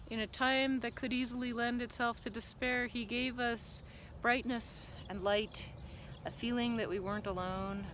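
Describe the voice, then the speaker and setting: A woman's voice: fairly high pitch, heavy background noise. A woman vlogging a reflective, earnest monologue to camera, musing aloud with lots of fillers. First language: not German. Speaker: vlogger and business coach.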